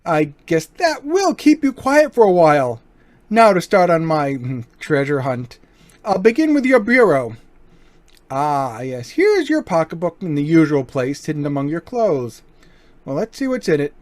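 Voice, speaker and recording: A suave gentleman burglar, acted by a man in an old-time radio crime drama. He taunts with unhurried, mock-courteous politeness and quiet menace. His pitch swings widely, recorded dry. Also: big pitch swings, dry recording. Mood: smug, taunting.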